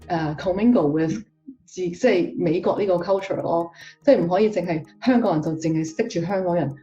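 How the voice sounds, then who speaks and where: Lady chatting in an interview, lowish mellow voice, lots of ums. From Hong Kong. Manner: chatty, with many fillers and restarts. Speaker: guest tax professional. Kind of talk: casual interview answers. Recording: dull distant mic with faint music.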